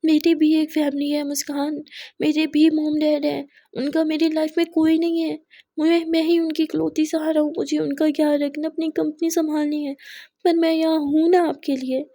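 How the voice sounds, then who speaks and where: A woman's voice: high voice, flat delivery, measured pace, creaky, warm tone, no room sound, clean studio sound, exaggerated voice. A woman voicing a young woman in love, tender and pleading, sometimes heated, who slips English phrases into her talk. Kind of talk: storytelling dialogue.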